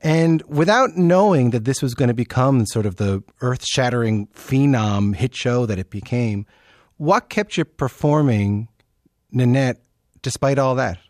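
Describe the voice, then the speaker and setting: A man hosting an interview show in a conversational style with informal asides, his voice slightly croaky as if he is losing it. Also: mid-range voice, measured pace, darkish tone, no echo, studio quality. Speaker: podcast and radio show host. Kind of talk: conversational scripted introduction and interviewing.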